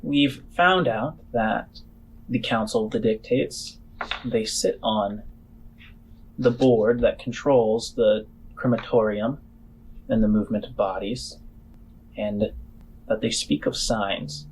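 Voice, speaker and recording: A man voicing a shrewd, skeptical female boss in a tabletop game, explaining things in a measured, halting way. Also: lowish voice, steady tone, soft background music, low-quality recording. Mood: measured, serious.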